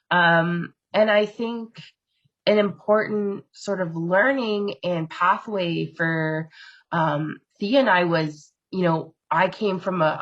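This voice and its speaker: A woman funder speaking on a panel in a measured way, with many fillers and pauses, over a video call. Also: on the low side, lo-fi sound. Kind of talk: webinar panel talk.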